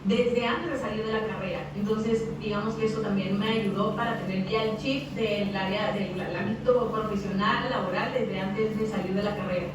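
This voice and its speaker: A young Mexican woman, a radio announcer, speaking with a Mexican accent. She answers interview questions haltingly, repeating words as she thinks aloud. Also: mid-range voice, steady tone. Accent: Mexican Spanish. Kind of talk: interview over a video call. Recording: echoey, distant, noisy video call audio.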